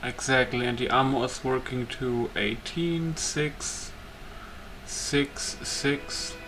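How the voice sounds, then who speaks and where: A man's voice: medium pitch, takes it slowly, background noise. A man giving casual let's play commentary as a gaming host, thinking aloud in a hesitant way. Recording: loud music behind the voice. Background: music.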